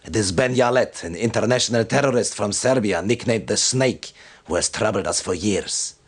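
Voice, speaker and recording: An actor playing a stern, devout military commander who gives solemn, formal and deliberate briefings in a video game. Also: male voice, lowish voice, husky. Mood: solemn, vengeful.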